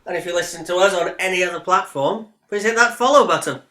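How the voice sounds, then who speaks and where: Bright-voiced man, slightly noisy recording. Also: high-pitched, quick pace. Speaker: podcast co-host. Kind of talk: casual chat.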